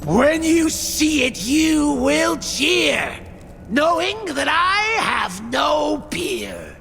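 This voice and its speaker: An actor playing a mad executioner in a merry mask, theatrically speaking in sing-song, menacing rhymes for a video game. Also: male voice, extremely high pitch, wildly swooping pitch, drawn-out words, vocal fry, sharp tone, shouting, a little hiss or hum, exaggerated voice. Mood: taunting. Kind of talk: video game villain acting.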